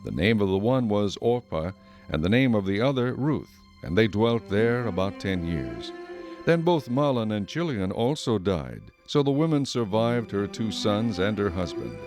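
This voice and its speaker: A male narrator reading scripture in a measured, solemn way, with music playing behind him. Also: on the low side, very animated.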